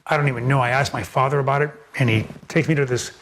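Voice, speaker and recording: A man's voice: mid-range voice, fairly even intonation, fast talker, fairly bright tone, a little hiss or hum. An older man from Miami, speaking as an interview guest at home in his library, telling his life story casually with many fillers and repeated words. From Miami, United States.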